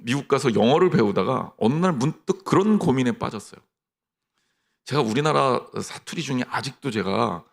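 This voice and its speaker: A middle-aged man preaching a sermon as pastor, lively, mixing mock dialogue with personal stories. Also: a little high, vocal fry.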